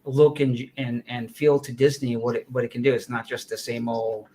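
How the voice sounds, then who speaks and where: This is a male host talking with a guest in a relaxed interview. He is warmly affirming and often trips over his words, repeating a phrase before he carries on. His voice sits at an ordinary middle pitch. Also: moderate pace, slight background noise. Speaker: talk show host. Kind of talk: casual interview.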